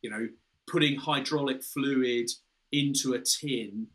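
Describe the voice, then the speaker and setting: Bright-voiced British man explaining on a call. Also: medium pitch, slow. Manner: explanatory, with many restarts and "you know". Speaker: guest, former fighter pilot. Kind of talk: casual interview over video call. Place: room with a window and red curtains, indoors. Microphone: video call.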